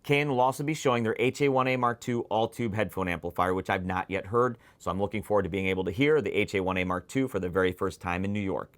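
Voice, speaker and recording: A man's voice: low-pitched, fast, hardly any pauses. A man hosting a product preview, speaking straight to viewers in an enthusiastic, emphatic scripted style. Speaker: host and presenter of a headphone show.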